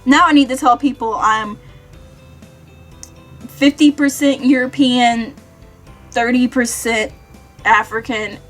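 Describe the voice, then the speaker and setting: A young American woman chatting casually to viewers in an animated, self-deprecating way, like a vlogger. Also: a little high, slow pace, long pauses. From Kentucky, United States. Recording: music behind the voice.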